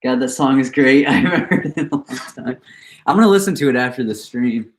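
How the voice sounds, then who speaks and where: A man's voice: mid-range voice, lively intonation, brisk. A young man with a nasal voice, chatting casually and upbeat on a trading live stream. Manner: laid-back, upbeat, chatting about trades between songs.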